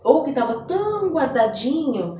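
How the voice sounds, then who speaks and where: A woman's voice: high-pitched, sing-song, unhurried, warm tone, a little hiss or hum. A Brazilian woman from São Paulo speaking as an interview guest, telling personal stories conversationally and often adding little tag questions. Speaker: podcast guest, mentor. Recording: echoey room, distant mic.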